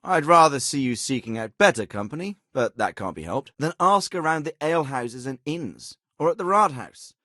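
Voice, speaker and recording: An actor playing a dignified, middle-aged medieval lord in a video game, fatherly but shrewd, with a measured, authoritative and well-spoken delivery. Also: on the high side, animated, bright, forceful tone, no echo, clean studio sound. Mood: stern, concerned. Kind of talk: scripted game dialogue.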